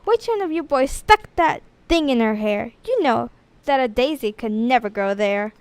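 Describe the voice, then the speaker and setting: A woman plays an exasperated female schoolteacher from a children's storybook. Her voice is high and emphatic as she scolds with indignation. The sound is dry. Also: lively intonation, normal speed, clean tone, no echo. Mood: indignant.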